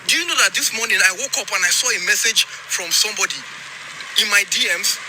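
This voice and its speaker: A man, a social media creator, talking to camera in an animated, teasing, exclaiming way. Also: high-pitched, brisk, noisy background. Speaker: TikTok creator. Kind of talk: casual talking-to-camera video.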